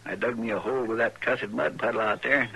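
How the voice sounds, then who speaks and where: An actor playing a loyal, stubborn frontier deputy with a rural Western American accent and folksy, ungrammatical talk, in an old-time radio western. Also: male voice, medium pitch, steady tone, quick pace, gravelly, bright, forceful tone. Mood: earnest, plain. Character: loyal, stubborn frontier deputy, plain-spoken country man. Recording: noisy vintage radio drama recording.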